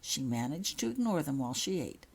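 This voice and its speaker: A woman narrating a story from a script, reading her own fiction aloud with a husky voice. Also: sits low, very animated, a little fast.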